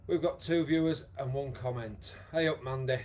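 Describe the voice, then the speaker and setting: A man with a croaky voice, leading a live online exercise class with many fillers. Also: medium pitch, normal speed, a little mellow. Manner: chatty, encouraging, lots of fillers. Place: home room with wall and bar. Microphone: device camera, moves away at times.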